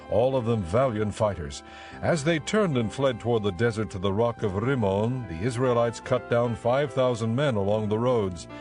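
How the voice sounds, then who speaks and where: A man's voice: medium pitch, darkish tone. A man reading scripted dramatic Bible narration as the narrator.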